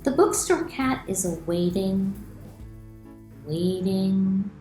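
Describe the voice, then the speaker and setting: A woman's voice: medium pitch, slow, roomy sound, soft background music. A middle-aged woman, a children's author, reading aloud and explaining things expressively to children in a room at home. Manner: expressive, clearly addressed to children. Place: small room at home, indoors.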